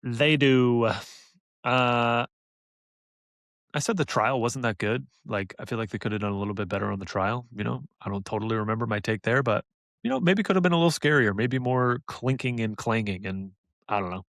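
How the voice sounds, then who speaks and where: A man's voice: medium pitch, brisk, a bit bright, no room sound, studio quality. A man co-hosting a podcast, chatting casually and enthusiastically with emphatic praise. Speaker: podcast host. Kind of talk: casual review chat.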